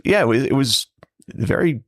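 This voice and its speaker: A man's voice: medium pitch, animated, moderate pace, no room sound, studio quality. A man from Central Massachusetts chatting casually on a podcast, animatedly telling stories and acting out the people he met. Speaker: podcast regular. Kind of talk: casual chat.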